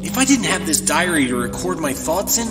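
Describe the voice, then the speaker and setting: A nervous young traveling agent in an audio drama, hesitant and prone to stammering. His voice sits a bit high and moves a lot in pitch. It sounds distant from the mic, with loud music playing over it. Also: big pitch swings, fairly quick. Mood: fearful.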